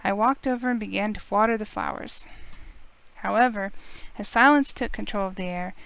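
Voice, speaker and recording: Casting: female streamer narrating a visual novel, clear smooth voice, mid pitch, expressive storytelling. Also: normal speed, poor audio.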